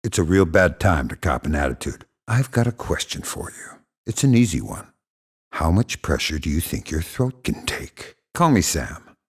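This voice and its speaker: An actor playing a hardened middle-aged spy in a video game, gravelly, terse and deadpan, with dry sarcasm and menace. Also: male voice, low voice, normal speed, full character voice. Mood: quiet menace. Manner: terse, deadpan, dryly threatening.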